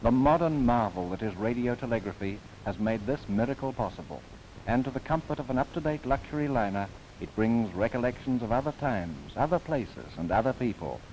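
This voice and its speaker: A man's voice: mid-range voice, lively intonation, a little mellow, low-quality recording. Formal 1930s documentary narrator, man. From New Zealand. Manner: formal, measured, declamatory. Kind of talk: scripted narration, 1930s newsreel. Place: studio voice-over. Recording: old film soundtrack with faint hiss.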